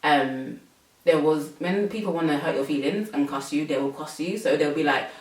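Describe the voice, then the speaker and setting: A woman's voice: low-pitched, normal speed, far from the mic. A young woman from England, hosting a casual chat and talking with many fillers. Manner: chatty, many fillers, laughs often. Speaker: host. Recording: roomy, from off mic.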